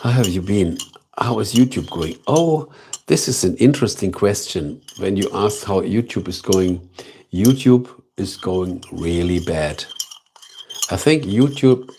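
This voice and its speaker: A man with a German accent hosting a casual live stream, chatting slowly and thoughtfully with viewers and repeating himself between fillers. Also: sits low, darkish tone, a little hiss or hum. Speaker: livestream host.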